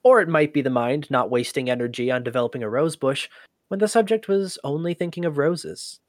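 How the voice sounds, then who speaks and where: An actor playing an inquisitive human archivist in an audio drama, with a conversational, halting, often exasperated manner. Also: medium pitch, no echo, clean studio sound, natural voice. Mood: thoughtful, explaining. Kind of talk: scripted audio drama acting.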